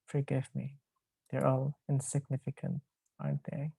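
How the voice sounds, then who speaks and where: Man reading poetry, steady and bright. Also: medium pitch, moderate pace, gravelly, slightly rough, no echo. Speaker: poet.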